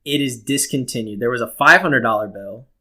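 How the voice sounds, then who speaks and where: A man's voice: medium pitch, fairly quick, bright, forceful tone. Casual, joking man riffing. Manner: riffing and swearing. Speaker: podcast co-host.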